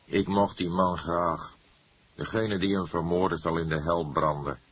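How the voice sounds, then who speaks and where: Guy doing a young male suspect in an audiobook, worked up and defiant, emotional, husky. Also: low-pitched, steady tone, a little slow, a bit bright, full character voice. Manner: emphatic, emotional. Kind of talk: acted audiobook dialogue.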